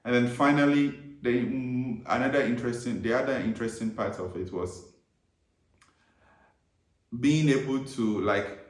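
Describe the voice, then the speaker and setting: A man's voice: medium pitch, moderate pace, long pauses, reverberant, poor audio. A man born and raised in Ghana, chatting casually with his wife as co-host of their travel vlog, rambling with restarts and laughing. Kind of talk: casual couple chat.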